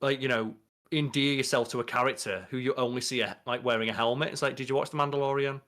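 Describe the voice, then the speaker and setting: A man's voice: medium pitch, fairly even intonation, fast, fairly bright tone. A man in his thirties co-hosting a podcast, chatting casually and opinionatedly with plenty of swearing. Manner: opinionated, sweary, mock-quoting. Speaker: podcast co-host. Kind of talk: casual chat. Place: home, at a desk indoors.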